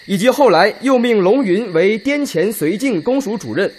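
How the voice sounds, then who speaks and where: A man playing a forceful, sceptical Red Army officer in a radio drama, with emphatic, declamatory delivery. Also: high-pitched, animated, moderate pace, a little hiss or hum. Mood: urgent, doubtful. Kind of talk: Chinese radio drama acting.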